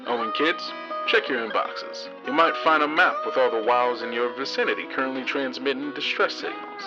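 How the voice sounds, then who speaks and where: A man's voice: medium pitch, a little fast, highs cut off, natural voice. Man playing a folksy radio host over guitar music. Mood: wry, caring. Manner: folksy, easygoing, drawling storyteller phrasing. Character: folksy post-apocalyptic radio host, wry, fatherly old pal. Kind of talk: in-character radio broadcast monologue. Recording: thin band-limited radio sound under music.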